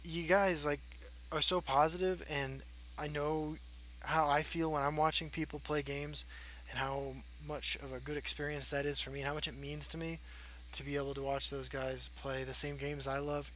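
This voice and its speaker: Man in his thirties, casual host, bright tone, faint hum behind. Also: a little high, level tone, moderate pace, low-quality recording. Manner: rambling, frank, many fillers. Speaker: channel host. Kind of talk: casual Q&A chat.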